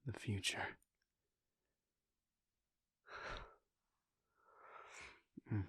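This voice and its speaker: A man voicing a devoted adult vampire prince in an audio roleplay, speaking in a hushed, halting, intimate way close to the microphone. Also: no room sound, close to an everyday voice. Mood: tender. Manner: hushed, halting and intimate, with soft sighs and pauses. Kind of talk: audio roleplay aimed at the listener.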